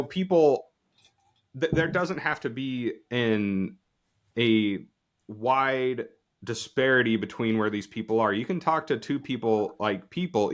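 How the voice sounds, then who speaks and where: A man's voice: medium pitch, a bit bright. A young man from Utah hosting a podcast interview, talking thoughtfully with many fillers. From Utah, USA. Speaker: podcast host and teacher. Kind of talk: conversational interview.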